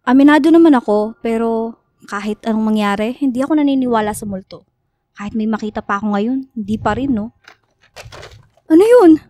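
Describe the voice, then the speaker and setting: A woman's voice: fairly high pitch, lively intonation, brisk, pauses often, mellow, no echo, natural voice. A young woman playing a skeptical, easily spooked student in a Filipino radio drama, teasing and emphatic. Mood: defiant, jumpy.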